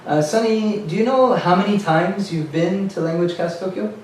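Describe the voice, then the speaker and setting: A man's voice: sits high, normal speed, distant mic. An American man hosting a laid-back conversation, with a few fillers along the way. He sits well back from the mic, and his voice rings around a very echoey room with a faint hiss underneath. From United States. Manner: relaxed, hesitant with fillers. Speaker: meetup organizer and host. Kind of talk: casual interview chat.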